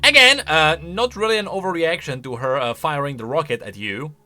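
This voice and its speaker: A man's voice: on the high side, expressive, fairly quick, sharp tone, faint music behind. A man giving casual, wry, opinionated reaction commentary as a video game lore commentator.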